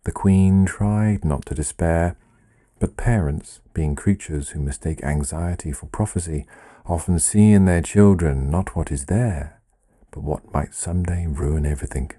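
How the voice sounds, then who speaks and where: Male narrator for literary fiction: very low pitch, unhurried, wry and deliberate. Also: mellow. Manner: measured, deliberate, wry delivery. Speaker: author reading own story. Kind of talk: scripted literary storytelling narration.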